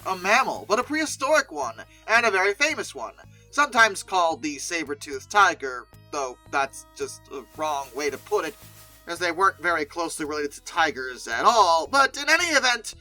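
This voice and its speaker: Video commentary host: bright, crisp-edged delivery, emphatic and opinionated, conversational asides, music underneath. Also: mid-range voice, poor audio. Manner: opinionated, emphatic, repeating phrases for stress. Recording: music playing behind the voice. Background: background music.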